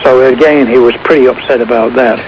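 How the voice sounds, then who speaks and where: A man giving casual music commentary as a radio guest, rambling and pausing mid-phrase. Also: medium pitch, fairly even intonation. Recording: muffled shortwave radio with clear static. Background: shortwave static and noise.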